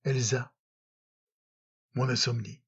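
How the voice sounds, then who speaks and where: A man reciting love poetry in a dramatic, solemn and measured manner, with frequent pauses, on a slightly muffled recording. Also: mid-range voice, level tone, fairly bright tone, breathy edge. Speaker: poetry reader.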